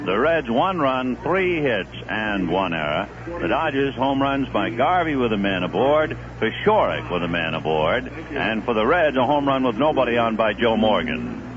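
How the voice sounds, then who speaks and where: 1970s radio baseball announcer, lively pitch. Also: male voice, moderate pace. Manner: relaxed storytelling delivery, rising sharply on big plays. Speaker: play-by-play announcer. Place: broadcast booth at a stadium. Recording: muffled 1970s radio broadcast with noise.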